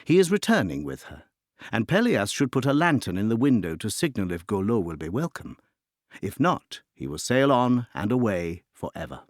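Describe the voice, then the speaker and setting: Male narrator for a music guide, low voice, very varied intonation, literary storytelling delivery, close dry studio sound. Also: sing-song, moderate pace, no room sound. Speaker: narrator of an opera introduction. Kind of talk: scripted narration.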